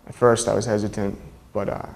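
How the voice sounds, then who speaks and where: A man's voice: on the low side, little pitch movement, brisk, vocal fry. A young man giving an unscripted, informal and somewhat hesitant personal account in an interview. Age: young adult. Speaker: military policeman giving personal testimony. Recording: faint background noise and ambience.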